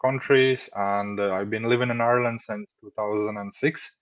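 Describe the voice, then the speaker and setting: A man in his thirties from Latvia, answering interview questions in a relaxed, rambling way with many fillers. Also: on the low side, moderate pace, no room sound. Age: 30s. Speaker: funded trader, guest. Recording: dull dry lo-fi audio.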